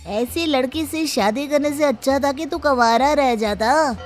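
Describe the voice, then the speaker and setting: A woman's voice: high voice, measured pace, faint music behind, pitched above the performer's own voice. A woman voicing a mocking young friend of a husband in a story. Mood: jeering.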